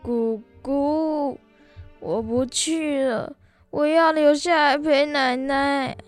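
A woman's voice: sits high, steady tone, extremely slow, faint music behind. A young female performer acting a tender-hearted, loyal young boy in a radio drama, with a Taiwanese Mandarin accent. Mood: pleading. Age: young adult.

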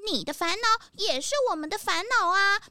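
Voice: very high voice, a little fast, pushed and bright, no room sound, exaggerated voice. A performer voicing a cheerful villager who laughs and reassures in a children's radio drama. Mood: kind.

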